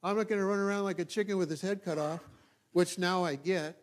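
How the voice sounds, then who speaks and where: Higher-voiced Californian man preaching informally. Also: fairly even intonation. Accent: Californian American. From California, United States. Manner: folksy, self-deprecating, halting with repeated checks to listeners. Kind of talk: informal, conversational sermon.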